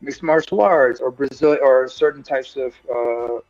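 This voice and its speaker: A man's voice: medium pitch, measured pace, a little mellow, dry recording, lo-fi sound, faint music behind. A man co-hosting a live stream, talking earnestly in long, rambling thoughts full of fillers. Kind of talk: casual discussion.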